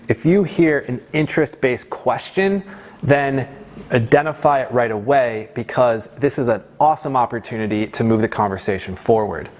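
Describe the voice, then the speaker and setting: A man's voice: medium pitch, darkish tone. A man giving an instructional talk as a sales trainer, conversational and emphatic, often checking in with the listener. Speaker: sales trainer presenting a course. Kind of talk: instructional presentation with role-played example lines.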